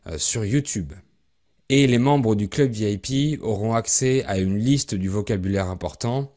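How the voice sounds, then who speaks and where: A man from France hosting a podcast for French learners, speaking in a clear, measured way as he introduces and interviews a guest. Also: on the low side, a little mellow. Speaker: podcast host.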